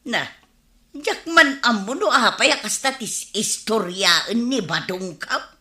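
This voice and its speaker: An actress with an Ilocano accent playing a chatty, disapproving village wife in a radio comedy-drama. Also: female voice, fairly high pitch, animated, slow pace, sharp tone, exaggerated voice. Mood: inquisitive. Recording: roomy, echoing studio sound.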